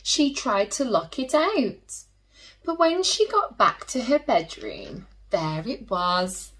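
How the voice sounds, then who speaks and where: A woman who teaches and hosts story time, reading a picture book aloud to children in an expressive way, drawing out key words and dropping into hushed asides. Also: fairly high pitch. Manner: slow, animated storytelling with drawn-out emphasis and hushed asides.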